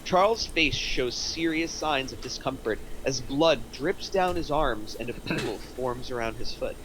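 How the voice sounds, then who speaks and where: A man's voice: medium pitch, expressive, unhurried. A man reading stage directions as narrator at a screenplay table reading. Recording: faint room noise.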